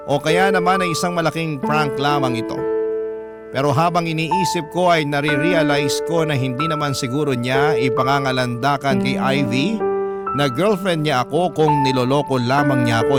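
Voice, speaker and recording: A man with a Filipino accent hosting a radio story show, narrating a letter dramatically in the first person. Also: a little high. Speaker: host reading a listener's letter. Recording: loud music under the voice.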